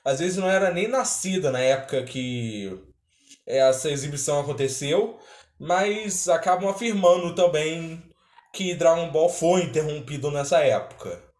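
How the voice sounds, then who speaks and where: A man's voice: fairly high pitch, normal speed, darkish tone. A Brazilian man hosting a reaction video with casual commentary, recorded in a roomy space.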